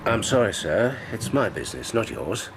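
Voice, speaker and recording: An actor playing a deferential but stubborn manservant, formal, dignified and restrained, in a radio drama. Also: male voice, on the low side, very animated, moderate pace, a bit bright, a little hiss or hum. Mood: guarded.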